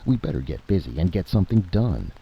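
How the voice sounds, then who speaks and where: A man's voice: low voice, big pitch swings, quick pace, vocal fry, slightly rough, lo-fi sound, heavily acted. A man voicing a practical, insistent adventurer with a folksy, argumentative manner in a story reading. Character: practical, insistent male time-travelling adventurer and schemer.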